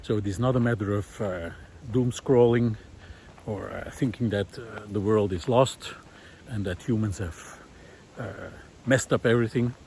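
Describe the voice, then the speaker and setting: A man's voice: lowish voice, measured pace, a little mellow. A man thinking aloud earnestly and hesitantly as he walks through a forest, in a reflective, unscripted vlog style. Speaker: vlogger, environmental researcher and former visual artist. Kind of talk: spontaneous spoken musings while walking. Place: outdoors, walking in a forest. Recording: outdoor ambient noise. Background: forest ambience.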